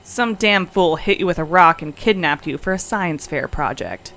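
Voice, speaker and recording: Blunt, impatient alien crewmate played by a woman, lowish forceful voice, dry and cutting, audio comedy. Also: animated, normal speed. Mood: snappish. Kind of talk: comedic audio drama acting.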